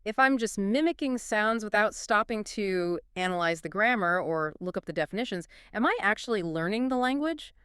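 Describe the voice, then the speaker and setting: A woman's voice: mid-range voice, lively intonation, moderate pace, hardly any pauses, a bit bright, smooth, no echo, studio quality. A woman co-hosting a podcast in an eager, scripted conversational style, leading each segment. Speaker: podcast co-host.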